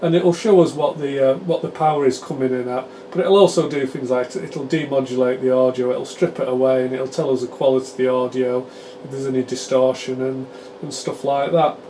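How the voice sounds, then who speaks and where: A British man gives an informal hands-on technical explanation as a presenter, chatty and halting as he explains things. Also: mid-range voice, fairly even intonation, dark tone, a little hiss or hum. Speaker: presenter demonstrating radio repair equipment.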